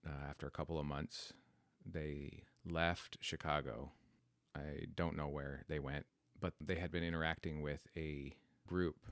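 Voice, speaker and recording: Podcast host, male, 40s, American. Very deep voice recorded dry, thinking aloud through a personal story. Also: dry recording. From United States. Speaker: podcast host telling their life story. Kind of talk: reflective, unscripted personal storytelling.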